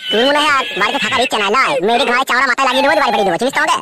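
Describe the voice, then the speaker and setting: Man voicing a fast, dismissive cartoon village husband. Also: very high voice, heavy background noise. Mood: threatening. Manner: dismissive, threatening. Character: gruff, defensive, foul-mouthed village husband. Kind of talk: comedy cartoon dialogue.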